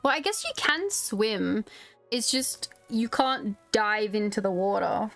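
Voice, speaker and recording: A woman's voice: medium pitch, animated, slow, gravelly, a bit bright, faint music behind. A woman streaming a video game, chatting casually with her viewers as she plays. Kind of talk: casual live stream commentary.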